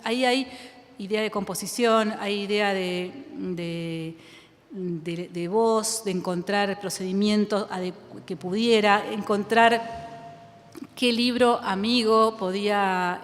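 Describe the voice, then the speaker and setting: A woman writer from Argentina with an Argentine accent, speaking reflectively in a public conversation, thinking aloud with many fillers and restarts and frequent laughter. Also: mid-range voice. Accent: Argentine Spanish. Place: school auditorium with audience. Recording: reverberant hall.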